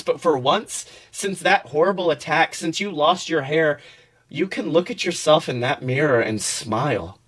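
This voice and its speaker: Male podcast narrator, somewhat high pitch, theatrical second-person storytelling. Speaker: podcast host reading fan fiction.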